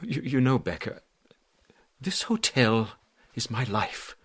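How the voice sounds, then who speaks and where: A man playing a stern, proud hotel manager in a radio drama, formal and guarded, with a husky voice. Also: medium pitch, very animated, takes it slowly, fairly bright tone, exaggerated voice. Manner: formal, clipped, insistent.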